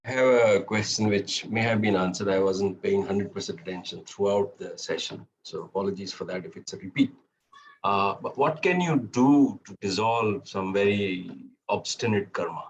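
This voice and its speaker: A man from the audience asking a question at an online talk, apologetic and measured. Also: fairly low pitch, lo-fi sound, slight background noise.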